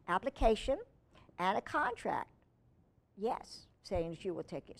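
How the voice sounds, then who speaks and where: Woman reading aloud for children's storytime. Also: mid-range voice. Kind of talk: read-aloud storytelling with informal asides.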